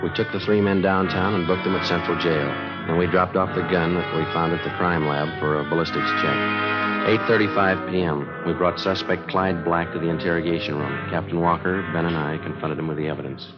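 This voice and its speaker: A terse detective sergeant acted by a man with a low American voice and brisk, clipped delivery. He sounds flat and businesslike, on a dull old radio drama recording with loud music. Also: lightly acted. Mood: matter-of-fact. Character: terse, businesslike male police detective sergeant narrating cases. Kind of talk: 1950s radio crime drama acting and narration.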